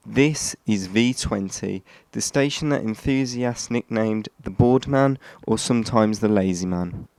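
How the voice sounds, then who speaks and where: A man's voice: lowish voice, unhurried, vocal fry, darkish tone, dry recording. A man reading scripted narration as a host, stumbling over and restarting phrases now and then. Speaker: host of a shortwave radio history channel.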